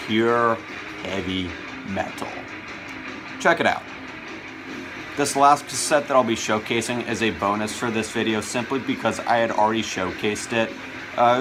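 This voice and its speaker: A man with a fairly low voice hosts a casual show-and-tell vlog. He talks in an enthusiastic, conversational way, with clearly audible music behind him. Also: poor audio. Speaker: video host showing a music collection.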